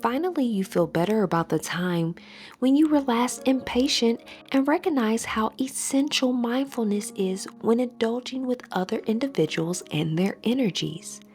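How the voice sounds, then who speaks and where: A woman leading a scripted guided meditation as an instructor, in a soothing, measured, softly breathy delivery. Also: on the high side, expressive. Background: soft background music.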